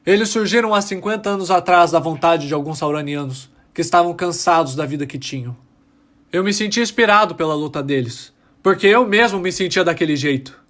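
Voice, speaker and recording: Man acting a high-voiced, bitter alien revolutionary. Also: fairly bright tone, natural voice. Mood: resolute. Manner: deliberate, indignant, theatrical. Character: bitter young alien miner turned revolutionary. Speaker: voice actor in audio drama. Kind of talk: dramatic testimony monologue.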